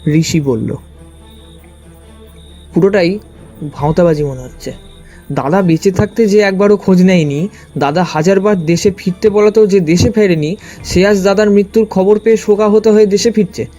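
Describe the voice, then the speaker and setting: A man reading a first-person scripted story as its narrator, with expressive storytelling delivery. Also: sits high, moderate pace, long pauses, soft background music. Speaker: narrator and detective's companion.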